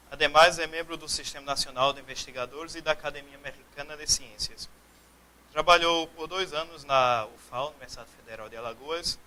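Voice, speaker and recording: Bright-voiced man reading a speaker introduction. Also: medium pitch, low-quality recording.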